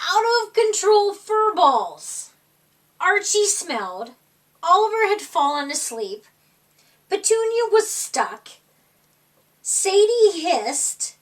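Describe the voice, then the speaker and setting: A woman reading a children's picture book aloud in an expressive, dramatic storytime style, drawing out words for young listeners. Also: very high-pitched, far from the mic.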